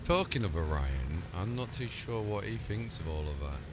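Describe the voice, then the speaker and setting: A man's voice: animated, moderate pace. A man role-playing as a ship's commander in conversation with game voices. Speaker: game player in character as ship commander. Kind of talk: role-played dialogue with game voice pack. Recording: clear ambient rumble behind.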